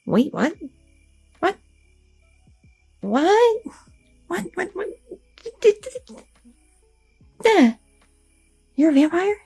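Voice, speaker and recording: A woman's voice: very high voice, very animated, very slow, vocal fry, long pauses, darkish tone, soft background music, heavily acted. A woman playing an anxious, devoted young goth girlfriend in an ASMR audio roleplay, hesitant and rambling, often repeating herself. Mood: stunned, excited. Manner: hesitant, stammering, self-interrupting, repeating words.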